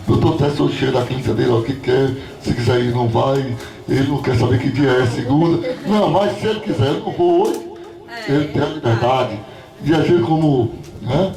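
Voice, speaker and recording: A hoarse man in his sixties, a former school director, giving a rambling, chatty ceremony speech full of asides. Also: medium pitch, warm tone. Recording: far-off voice in an echoey hall.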